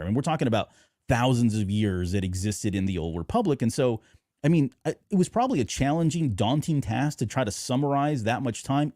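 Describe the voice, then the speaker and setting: Mellow, lively male podcast host. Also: medium pitch, no echo, clean studio sound. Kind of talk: casual podcast chat.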